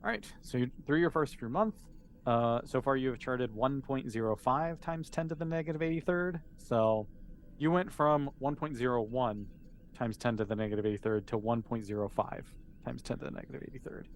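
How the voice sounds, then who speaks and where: A man's voice: mid-range voice, a little fast. Casual guy game mastering, explains stuff easygoing, some background noise and room sound. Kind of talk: casual tabletop game narration.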